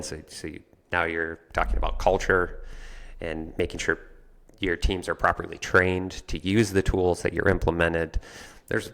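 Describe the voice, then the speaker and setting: A man's voice: low-pitched, fairly bright tone. A man talking through an explanation in a conversational way, like a guest on a business podcast.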